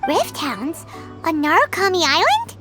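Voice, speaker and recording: A tiny game fairy with an extremely high, squeaky childlike voice. She is chirpy and cheeky, bouncing wildly up and down in pitch, with loud music playing behind her. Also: wildly swooping pitch, a little slow, full character voice. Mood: curious, surprised. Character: tiny excitable floating fairy companion, childlike and chatty. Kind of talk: English video game voice acting.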